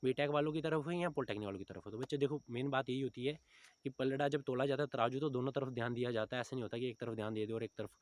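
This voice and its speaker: Man around 30, very quick pace, mid-pitched voice, informal coaching advice, frequent check-in tags. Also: steady tone, no room sound. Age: about 30. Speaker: exam coaching teacher giving advice to recruitment candidates. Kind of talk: informal motivational advice talk to viewers.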